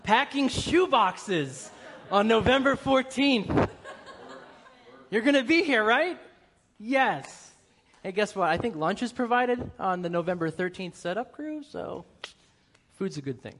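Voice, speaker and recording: A young adult man with a faint Boston accent, preaching a lively church sermon and joking with the congregation. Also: sits high, a little slow, pauses often, a little hiss or hum. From New England, US. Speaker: guest preacher.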